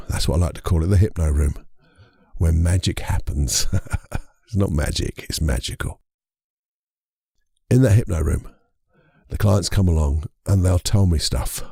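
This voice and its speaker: Croaky, low-voiced male podcast host. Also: mellow, no echo, studio quality. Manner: chatty, rambling, with self-corrections and chuckles. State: croaky voice from a lingering cold. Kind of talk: casual solo podcast monologue.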